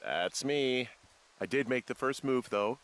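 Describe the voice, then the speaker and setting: A man voicing a weary, wry, awkward male fire lookout in a video game, speaking hesitantly with low-key dry humor. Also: lively intonation, unhurried, fairly bright tone, slight background noise. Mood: wry, grumbling. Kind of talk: naturalistic video game voice acting.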